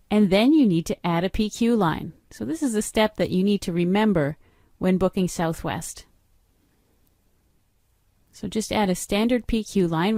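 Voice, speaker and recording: A woman's voice: mid-range voice, mellow, dry recording, clean studio sound. A middle-aged woman narrating a screen-recorded training walkthrough, explaining each step in a matter-of-fact instructional way. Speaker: software trainer.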